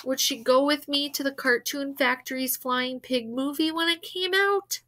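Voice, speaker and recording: A woman reading a children's novel aloud as an expressive storyteller who acts out the dialogue. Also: sits high, normal speed. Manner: animated, acts out characters' dialogue.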